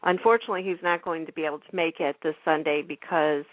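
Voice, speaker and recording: A woman's voice: low-pitched, steady tone, fast talker, no room sound. A woman hosting a casual talk radio show, chatting informally. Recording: narrow phone-like internet radio call.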